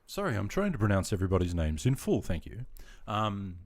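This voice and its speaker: Deep-voiced Australian man, chatty. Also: very animated, a little fast. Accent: Australian, with some Queensland features. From Sydney, Australia. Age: middle-aged. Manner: chatty, friendly, greets viewers by name. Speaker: gaming livestreamer. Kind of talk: casual chat with viewers.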